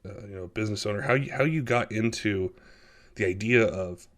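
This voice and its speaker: A man hosting a podcast, asking questions in a halting way full of fillers. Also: on the low side, a bit bright. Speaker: podcast host. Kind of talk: casual interview chat.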